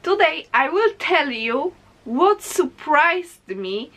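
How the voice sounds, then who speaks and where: A woman's voice: high-pitched, very animated, slow pace, fairly bright tone. A young woman from Poland with a Polish accent, talking casually and animatedly to viewers as a vlogger. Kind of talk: casual vlog monologue to camera.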